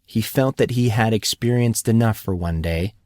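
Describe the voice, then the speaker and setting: Low-voiced man narrating a fantasy story. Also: normal speed, a little mellow, no echo, studio quality. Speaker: audiobook narrator.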